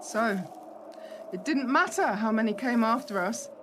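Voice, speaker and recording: An actress playing a shaken, suspicious young hotel assistant manager, asking hesitant, probing questions in a radio play. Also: female voice, fairly high pitch, lively intonation, unhurried. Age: young adult. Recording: noisy recording with background ambience.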